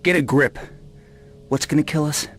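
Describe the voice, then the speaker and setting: An actor playing a cool, sardonic, brusque adventurer in a video game, with a dry, wry manner. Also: male voice, on the high side, fairly even intonation, normal speed, fairly bright tone, faint music behind. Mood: urgent, brusque. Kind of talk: video game cutscene acting.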